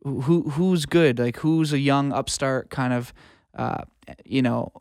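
A man's voice: medium pitch, takes it slowly, a little mellow, clear, resonant tone, no echo, studio quality. A man speaking informally and earnestly as a guest in a casual interview, giving career advice. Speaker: guest, music producer and audio engineer.

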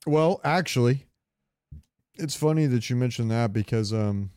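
A man with a clean, resonant voice at a middling pitch. He talks in loose, casual banter as a podcast co-host and swears freely. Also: measured pace, a little mellow, smooth, no echo, clean studio sound. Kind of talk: casual banter about game sounds.

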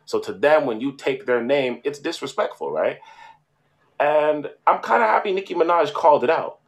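A man's voice: a little high, animated, moderate pace. A man co-hosting a casual chat, explaining at length and often adding "right?". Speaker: podcast co-host.

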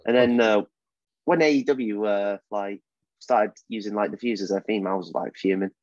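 A man's voice: fairly low pitch, normal speed, darkish tone, no room sound. A young man with a British accent, a wrestler guest in a casual podcast interview, talking with lots of fillers and restarts. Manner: hesitant, many fillers and restarts. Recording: dull, dry, lo-fi sound.

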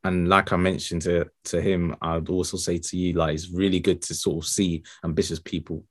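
A man's voice: low-pitched, little pitch movement, normal speed, a bit bright. A man hosting a podcast, chatting casually in a friendly, rambling way with many fillers. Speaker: podcast host. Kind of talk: casual interview chat.

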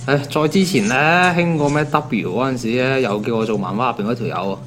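男演員扮演中音、口語化嘅香港後生仔. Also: male voice, medium pitch, fairly quick, soft background music, lightly acted. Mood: grumbling, resigned. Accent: Hong Kong. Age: 20s. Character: easygoing young man, sighing over his demanding girlfriend. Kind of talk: naturalistic Cantonese audio drama dialogue.

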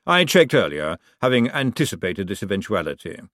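A man doing a calm deferential servant. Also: fairly low pitch, a little fast, no echo, clean studio sound. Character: quiet deferential male servant and assassin.

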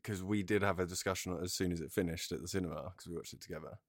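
A man's voice: sits low, fairly even intonation, racing, no echo, studio quality. A man talking casually as a co-host in a podcast discussion.

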